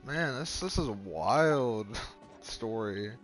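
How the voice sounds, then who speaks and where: A man's voice: medium pitch, expressive, slow, music in the background. A man giving informal, rambling gameplay commentary as he reacts to a video game story. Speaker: gaming streamer reacting to a story quest.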